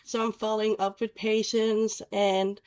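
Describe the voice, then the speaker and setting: Earnest young Danish woman, flat tone. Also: medium pitch. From Denmark. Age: 20s. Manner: earnest, with halting phrasing and restarts. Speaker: patient support worker. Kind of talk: casual interview talk.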